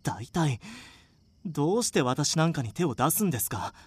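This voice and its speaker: A woman's voice: low voice, sing-song, normal speed, a little mellow, slightly rough, no echo, exaggerated voice. A woman playing a prim, flustered woman in an audio drama, speaking politely but scolding with indignation. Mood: indignant. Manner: polite, scolding formal speech. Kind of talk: scripted audio drama acting.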